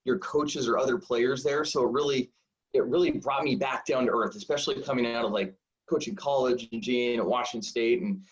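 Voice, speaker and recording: A man in his forties, a high school football coach, telling stories in a rambling, self-deprecating way full of fillers. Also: a little fast. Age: 40s. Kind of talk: casual storytelling interview. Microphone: video call. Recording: dull lo-fi video call audio.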